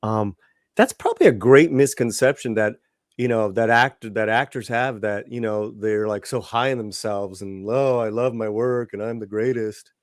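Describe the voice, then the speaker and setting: A man hosts a relaxed interview and asks long, winding questions full of fillers and restarts. The recording is dry and close, with no room echo. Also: on the low side, normal speed. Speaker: interview host. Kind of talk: casual interview.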